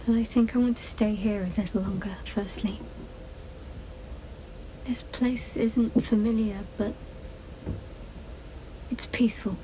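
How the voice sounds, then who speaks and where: Game heroine, voiced by a woman: soft-toned, pensive, unhurried, faint ambience. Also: fairly high pitch, steady tone, a little hiss or hum, lightly acted, rough recording. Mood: calm, pensive. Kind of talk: video game dialogue.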